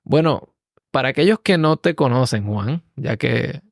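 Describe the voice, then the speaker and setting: Man hosting, slightly gravelly voice. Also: mid-range voice, expressive, measured pace, no room sound, clean studio sound. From Puerto Rico. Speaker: podcast host. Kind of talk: podcast introduction and interview.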